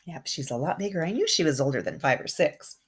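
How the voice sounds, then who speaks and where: Woman, kindergarten teacher type, low register, slightly husky, expressive and animated storybook reading with conversational asides for little listeners. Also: fast. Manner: expressive, engaging young listeners with questions and comments. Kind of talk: children's picture-book read-aloud with chatty asides.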